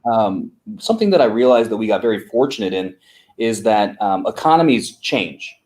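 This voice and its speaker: A man co-founder of an agency, answering interview questions in an unhurried way with many fillers and restarts. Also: on the low side, big pitch swings, darkish tone.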